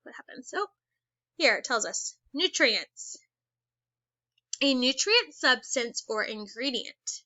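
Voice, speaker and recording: Woman teacher, bright and a bit high, reads a lesson aloud slowly and asks kids questions; dry, slightly dull recording. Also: sing-song, dry recording. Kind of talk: read-aloud lesson for children.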